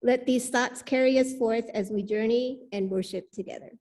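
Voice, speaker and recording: A woman with a fairly high voice leads a church service. She reads prepared words and announcements to the congregation from a microphone at the front. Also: normal speed, darkish tone. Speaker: worship leader welcoming the congregation. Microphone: pulpit microphone in a church service.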